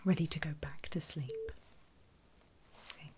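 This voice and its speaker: A woman speaking in a soft, breathy whisper, gently reassuring the listener as a medical carer in an ASMR roleplay. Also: low voice, wildly swooping pitch, unhurried, background noise.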